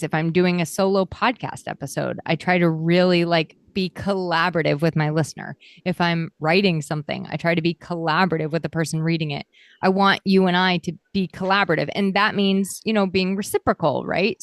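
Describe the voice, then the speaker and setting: A woman's voice: fairly low pitch, no room sound, studio quality. A middle-aged woman interviewed as a guest, talking in a chatty, reflective way, with fillers and frequent laughs. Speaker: guest, copywriter. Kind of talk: conversational interview.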